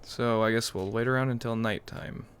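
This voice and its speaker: A man's voice: medium pitch, steady tone, normal speed, fairly bright tone, a little hiss or hum. A man hosting a gaming video, giving casual roleplay commentary as he plays. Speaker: gaming video host and player.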